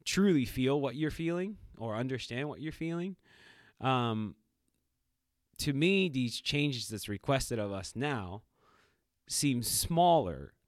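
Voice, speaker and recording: A man's voice: medium pitch, takes it slowly, no echo, clean studio sound. A middle-aged man co-hosting a podcast, telling stories reflectively with lots of fillers and restarts. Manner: reflective, animated storytelling with many fillers. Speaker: podcast co-host. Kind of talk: casual podcast conversation.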